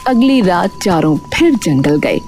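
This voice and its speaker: A woman's voice: on the low side, very animated, a little slow. A woman reading a scripted moral story as its narrator. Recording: background music under the voice.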